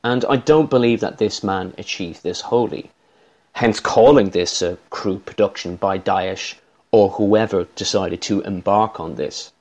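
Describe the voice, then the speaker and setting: Irish man, low-pitched, formal and measured, analyst reading a prepared commentary. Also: darkish tone.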